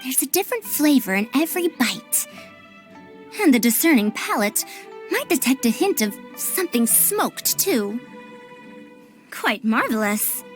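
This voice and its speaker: A woman playing a cultured, learned young scholar in a video game, speaking in a refined, precise, erudite way. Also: high voice. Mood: impressed, curious.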